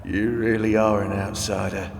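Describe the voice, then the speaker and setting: A man's voice: lowish voice, little pitch movement, moderate pace. Man performing a callous greedy scavenger, sneering slang, fantasy audio drama, long cave reverb, noisy background. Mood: mocking. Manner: rough street slang, sneering. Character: greedy, callous male underworld scavenger, treacherous and mocking. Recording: echoey cavern reverb, background noise.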